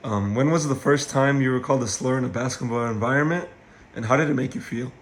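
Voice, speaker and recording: A man's voice: mid-range voice, quick pace, slight background noise. A young man hosting a casual podcast with friends, reading out his questions from a script. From Mexican-American from West Texas. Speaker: podcast host.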